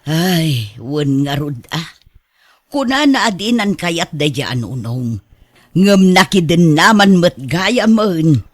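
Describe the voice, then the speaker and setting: Slow, swooping, worried mother. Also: on the low side, expressive, no echo. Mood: resigned. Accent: northern Philippine. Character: caring, worried middle-aged mother. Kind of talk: radio drama acting.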